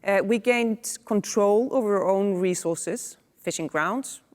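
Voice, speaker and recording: A woman in her forties from Iceland, moderating a panel with relaxed conversational asides and fillers, on a lapel mic in a studio. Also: medium pitch, a little slow, long reverb tail. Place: indoor studio set.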